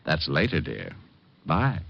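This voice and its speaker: An actor playing a sardonic American private detective in old-time radio drama, narrating and talking in a dry, flippant manner. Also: male voice, very deep voice, huge pitch swings, takes it slowly, gravelly, slight background noise, full character voice. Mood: breezy, puzzled. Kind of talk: 1940s-50s radio detective narration and acting. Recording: dull old-time radio, music behind.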